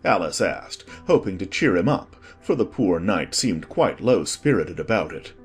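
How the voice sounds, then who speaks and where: A man's voice: sits low, very animated, measured pace, slightly rough, soft background music. A man narrating a storybook as a scripted audiobook.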